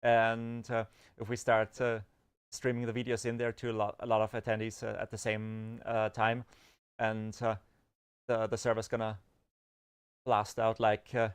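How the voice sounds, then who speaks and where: Man, livestream co-host; smooth clear voice, halting and filler-heavy. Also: fairly low pitch, completely flat delivery. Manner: rambling technical talk, many fillers and restarts. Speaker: developer and podcast co-host. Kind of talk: casual livestream chat.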